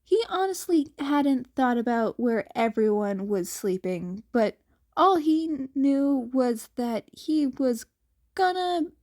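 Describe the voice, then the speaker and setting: A woman's voice: high-pitched, animated, slow pace, darkish tone. A woman narrating fan fiction, occasionally stumbling and repeating words as she reads. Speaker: podfic reader.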